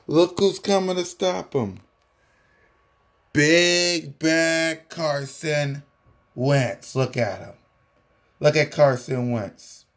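An American man in his thirties giving a mocking, repetitive, drawn-out sports fan taunt, recorded on a phone indoors. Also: a little high. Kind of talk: taunting fan vlog, casual trash talk. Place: small room indoors at home. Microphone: phone at arm's length.

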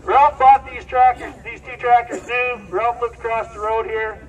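High-pitched Midwestern auctioneer, heard from afar. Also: male voice, fairly even intonation, normal speed. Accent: American Midwestern. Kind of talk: live farm auction calling and announcing. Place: outdoors at a farm auction. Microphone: distant handheld camera picking up PA. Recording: distant outdoor loudspeaker sound.

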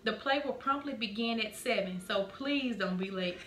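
A woman's voice: fairly high pitch, moderate pace, a bit bright. A young woman presenting a video announcement, recorded in a home room on a camera mic. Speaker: presenter and cast member promoting a play. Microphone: camera mic a few feet away. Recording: echoey room with background noise.

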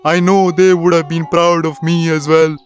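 A man's voice: a little high, flat delivery, moderate pace, faint music behind, lightly acted. A man voicing a sorrowful, proud cartoon animal making melodramatic, solemn speeches. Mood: solemn, sad.

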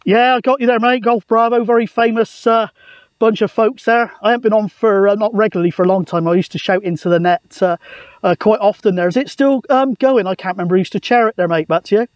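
A man's voice: sits high, fairly even intonation, fast talker, dry recording, muffled recording. A British man chatting casually and matily outdoors, as a radio hobbyist making a video. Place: outdoors on an open hilltop.

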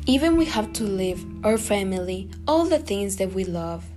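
Guatemalan-born student, reads evenly at a middle pitch over guitar music. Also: female voice, normal speed. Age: young adult. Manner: earnest, steady reading. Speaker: student podcaster. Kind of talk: scripted reading.

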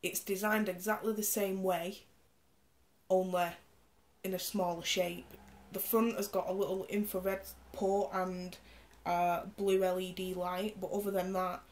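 A woman's voice: medium pitch, flat delivery, unhurried. A woman, stuffed up and nasal from a cold, casually reviewing a tech gadget while demonstrating it on screen. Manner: chatty, explains specs while demonstrating. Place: indoor room, camera on the screen.

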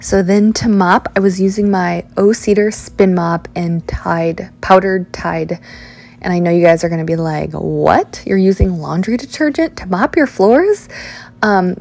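A woman in her thirties chatting casually about cleaning her home, with lots of ums and likes. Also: medium pitch. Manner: hushed, quick talk while kids nap. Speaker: cleaning vlogger. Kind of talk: casual voice-over chat. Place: home, indoors. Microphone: handheld camera at arm's length.

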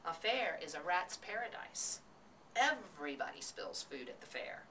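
A knowing old sheep from a children's tale, voiced by a woman narrator, coaxing in measured, persuasive phrases. The voice is on the low side and bright, heard a little far from the mic. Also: very animated, a little hiss or hum. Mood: persuasive, relishing.

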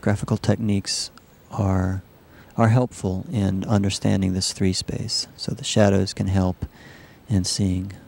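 Male technical demonstrator, low voice with plenty of fry, halting and explanatory. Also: level tone, a little mellow, slight background noise. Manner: halting, measured explanatory delivery. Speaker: software researcher demonstrating a system. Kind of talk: live demo narration of a computer animation.

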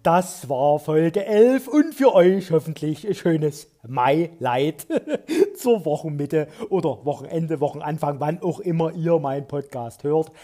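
A cheeky, boozy pub philosopher captain hosts a comic quiz, played by a man. He teases and jokes in a slightly high voice. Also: expressive, measured pace, warm tone, soft background music. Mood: joking. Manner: playful, joking, teasing. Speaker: host. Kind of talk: comic phone interview quiz.

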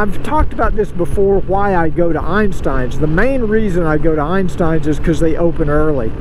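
A man chatting casually to viewers as a motorcycle vlogger, thinking aloud into a helmet mic as he rides. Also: fairly high pitch. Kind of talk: casual rambling chat to viewers while riding. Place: outdoors, riding a motorcycle on suburban roads. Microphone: mic inside or on a motorcycle helmet. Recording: helmet mic with loud engine and road noise. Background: motorcycle and traffic noise.

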